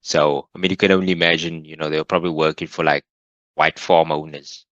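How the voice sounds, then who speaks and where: A man's voice: a bass voice, level tone, brisk, a bit bright, no echo, highs cut off. A South African man in his late thirties, a podcast guest chatting in a laid-back, slangy way and laughing easily. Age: late 30s. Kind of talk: casual conversation.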